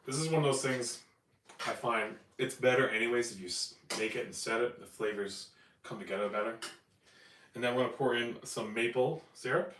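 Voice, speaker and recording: Raspy man hosting a live cooking stream. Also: medium pitch, a little fast, fairly bright tone. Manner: upbeat, chatty, explains steps as he cooks. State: raspy, voice cracking after flu. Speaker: home cooking channel host. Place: home kitchen. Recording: echoey room, distant mic, faint noise. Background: kitchen clatter, hot plate.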